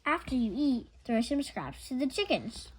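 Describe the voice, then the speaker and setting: A woman's voice: high voice, very animated, normal speed, breathy edge, heavily acted, low-quality recording. Woman reading as a busy storybook lady of the house. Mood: instructing. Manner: polite, instructing. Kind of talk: children's storybook character voice.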